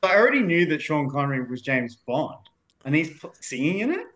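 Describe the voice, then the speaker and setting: A man's voice: fairly high pitch, sing-song, a little fast, a bit bright. Casting: Australian man, thirties, easygoing podcast guest, rambling chat. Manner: meandering, full of fillers and restarts. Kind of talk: casual chat.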